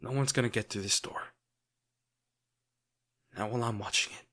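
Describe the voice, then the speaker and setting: A man playing a lovesick, protective villain in an intimate, brooding audio roleplay, speaking earnestly with dramatic pauses. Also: fairly low pitch, fairly even intonation, sharp tone. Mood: soft, resigned. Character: lovesick male villain, brooding, protective, torn over wounded hero.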